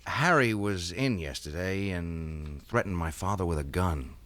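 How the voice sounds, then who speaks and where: A shaken young son. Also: male voice, deep voice, a little slow, fairly bright tone, no room sound. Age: young adult. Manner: rattled, hesitant speech. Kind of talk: 1970s radio drama acting.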